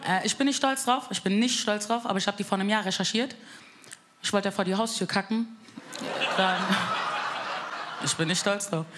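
A young woman from Bavaria doing a cheeky, deadpan stand-up set on a club stage. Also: mid-range voice, moderate pace, long pauses. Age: young adult. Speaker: stand-up comedian. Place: live comedy club stage. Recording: live stage mic, loud crowd and room noise.

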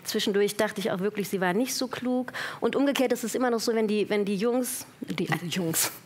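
A woman in her forties, an actress guest on a talk show, chatting in a lively, self-mocking way. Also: mid-range voice, brisk, a little hiss or hum. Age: 40s. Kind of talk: casual talk show chat.

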